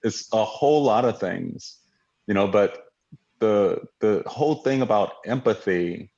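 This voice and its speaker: Earnest guy from hawaii, really deep voice, kinda muffled video call sound. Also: lively intonation, a little slow, a little mellow. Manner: reflective, earnest, with many fillers and restarts. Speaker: guest, diversity leader. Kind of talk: conversational interview. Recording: dull lo-fi audio.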